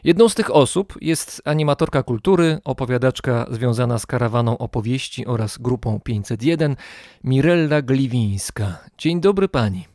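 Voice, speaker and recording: A man's voice: medium pitch, moderate pace, a little mellow, dry recording, clean studio sound. A man narrating and introducing as a podcast host in a scripted style.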